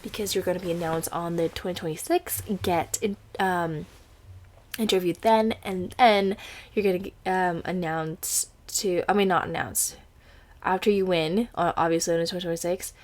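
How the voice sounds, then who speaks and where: This is a young woman in her twenties with an Indonesian accent, speaking English as her second language. She rambles and giggles through a casual podcast chat, stops and restarts often, and sounds teary after crying. Her voice sits a little low. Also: steady tone, moderate pace. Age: 20s. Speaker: podcast host.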